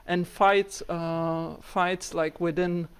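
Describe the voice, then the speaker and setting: A woman's voice: fairly low pitch, level tone, takes it slowly, smooth. A woman researcher answering audience questions after a talk, rambling with many fillers like "like" and "you know". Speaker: guest speaker, architecture researcher.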